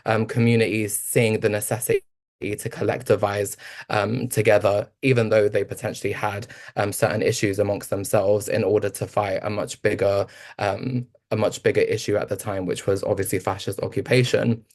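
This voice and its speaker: Flat-voiced man with halting delivery. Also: fairly low pitch. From Albanian family from Kosovo. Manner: halting, many fillers and restarts. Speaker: panelist. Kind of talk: panel discussion talk.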